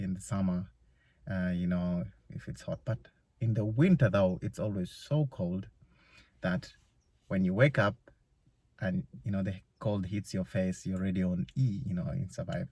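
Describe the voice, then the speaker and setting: A man's voice: sits low, dark tone, husky, no room sound. A young man from Uganda, talking casually to camera in a loose vlog full of "you know" fillers. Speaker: vlogger. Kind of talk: casual solo vlog monologue.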